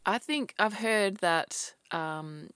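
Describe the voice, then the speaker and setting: A woman co-hosting a podcast, telling a true crime story in casual chat with a slightly croaky voice and frequent laughs. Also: medium pitch, takes it slowly, a bit bright, dry recording. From Australia. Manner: chatty storyteller, laughs often, restarts sentences.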